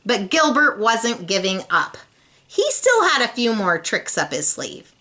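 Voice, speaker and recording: A woman's voice: fairly high pitch, sing-song, unhurried, pushed and bright, slight background noise. A woman reading a children's storybook aloud, expressively and speaking directly to young listeners. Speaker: storybook reader.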